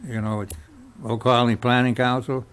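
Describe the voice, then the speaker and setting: A man's voice: mid-range voice, level tone, brisk, a bit bright, clear, resonant tone. A man speaking as a selectman at a town meeting, chatty and folksy. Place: town hall meeting room.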